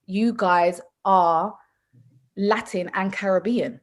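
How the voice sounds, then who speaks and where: A woman born in England, a mother talking in a casual, animated way with lots of asides and restarts. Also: medium pitch, takes it slowly. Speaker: mother and podcast guest. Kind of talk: casual conversational chat.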